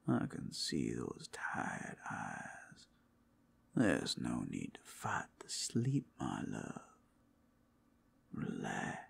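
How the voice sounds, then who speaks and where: A man playing a big bad werewolf as a tender, protective lover in an intimate ASMR roleplay, crooning soothingly in a gravelly, breathy half-whisper. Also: very deep voice, heavily acted. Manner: soothing, crooning, coaxing with pet names.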